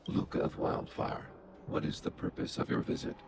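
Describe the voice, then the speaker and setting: An actor voicing a huge, calm underworld robot boss who speaks in flat, clipped, computer-like reports through a robotic filter. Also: male voice, moderate pace, background noise. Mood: flat computing. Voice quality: deep, gravelly, processed. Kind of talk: studio video game voice acting. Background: game music.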